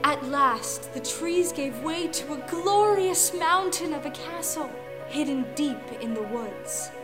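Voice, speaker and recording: A woman with a high voice tells a folktale as scripted audio drama narration. Music sits behind her. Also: lively intonation, takes it slowly.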